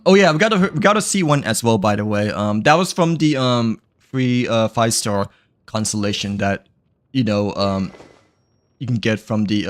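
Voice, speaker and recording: A man's voice: mid-range voice, a bit bright, no room sound. A man livestreaming a game, chatting in a rambling way full of fillers and giggles, his throat a little dry and tired. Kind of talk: casual game stream chat.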